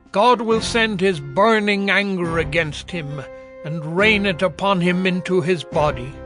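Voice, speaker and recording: An indignant moralist in a scripture audio drama, declaiming against the wicked. The high-pitched voice moves slowly and censoriously, with music clearly playing behind it. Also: male voice.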